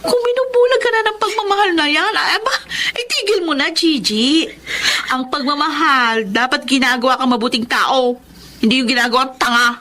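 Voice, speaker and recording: A woman's voice: high-pitched, normal speed, sharp tone. A woman with a Filipino accent playing a blunt young friend in a radio drama, scolding with sarcastic rhetorical questions. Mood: scolding, exasperated.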